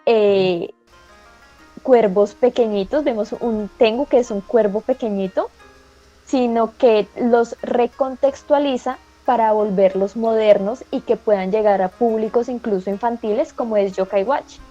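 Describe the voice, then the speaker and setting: A woman with a Colombian accent, co-hosting an anime show and presenting a segment in a lively, playful way. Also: a little high, unhurried, muffled recording, faint music behind. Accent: Colombian Spanish. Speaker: co-host of anime talk show.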